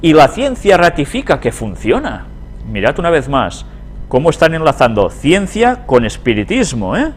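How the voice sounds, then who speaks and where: A man from Spain with a Spanish accent teaches in a religious lecture style, with a lively, varied pitch. He reads passages aloud and adds conversational asides and rhetorical questions, with faint music behind him. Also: moderate pace. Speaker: Bible prophecy teacher. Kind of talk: religious lecture, reading articles aloud with commentary.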